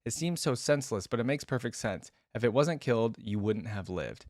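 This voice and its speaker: A man voicing a gentle, whimsical tree creature in a read-aloud story, with kindly, quirky politeness. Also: medium pitch, animated, a little fast, no room sound, clean studio sound, lightly acted. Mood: musing.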